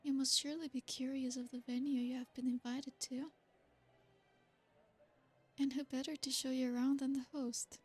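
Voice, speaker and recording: A woman voicing an ancient, possessive royal vampire in an ASMR roleplay. She speaks slowly and seductively, with soft whispered asides. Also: high-pitched, flat delivery. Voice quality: breathy, soft-spoken. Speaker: ASMR creator.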